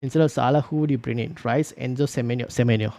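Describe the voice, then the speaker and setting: A man with a gravelly, creaky voice hosts a casual live stream. He answers viewer questions loosely and thinks out loud, stopping to restart his sentences. The sound is close and dry, with no room echo. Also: medium pitch, fairly even intonation, fast talker. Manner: thinks aloud, reads viewer comments, many fillers and restarts. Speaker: fantasy football streamer.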